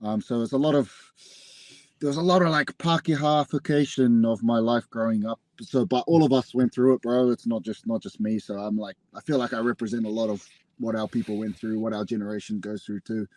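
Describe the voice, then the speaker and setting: A man's voice: mid-range voice, fairly even intonation, a little fast, darkish tone, no room sound. A young man with a New Zealand accent, a pro wrestler chatting casually as a podcast guest and calling everyone "bro". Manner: laid-back, says "bro" often. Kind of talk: casual interview chat.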